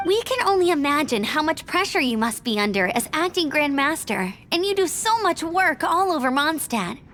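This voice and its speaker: An actress voicing a perky, friendly, energetic young female scout in a video game. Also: high voice, moderate pace, a little hiss or hum.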